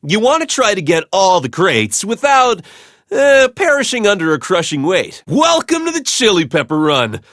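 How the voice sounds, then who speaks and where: A man's voice: high-pitched, a bit bright, no echo, studio quality, full character voice. A man voicing an eager, rambling superfan villager in a cartoon video game, gushing and a little nervous.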